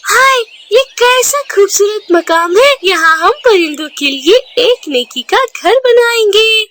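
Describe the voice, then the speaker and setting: A woman's voice: very high-pitched, expressive, slow pace, a bit bright, full character voice. A woman voicing a kindly, earnest mother bird in a children's cartoon. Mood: hopeful. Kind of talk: Hindi children's cartoon dubbing.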